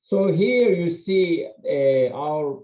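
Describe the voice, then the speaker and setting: A man's voice: fairly high pitch, lively intonation, drawn-out words, dark tone. Turkish-born man giving a webinar talk. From Turkey. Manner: candid, self-deprecating, conversational with frequent fillers. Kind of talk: academic webinar presentation. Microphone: computer mic on video call. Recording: echoey, slightly muffled video-call audio.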